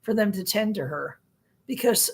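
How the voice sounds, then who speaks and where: Chatty woman in her sixties, husky voice. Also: mid-range voice, expressive, normal speed. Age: 60s. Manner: chatty, rambling, laughs often, many fillers. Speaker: livestream host. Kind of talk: casual live-stream chat reading viewer comments.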